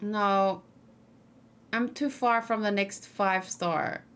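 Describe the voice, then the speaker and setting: A woman's voice: mid-range voice, fairly even intonation, takes it slowly, fairly bright tone. A woman streaming a let's play, giving casual, chatty commentary and musing aloud as she goes. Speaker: gaming streamer.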